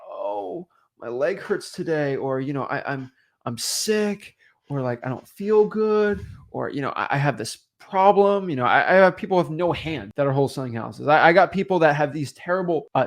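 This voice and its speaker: A young man hosting a real estate webinar, giving an emphatic motivational talk and mocking excuses. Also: on the high side. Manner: animated, emphatic, acts out excuses in mocking voices.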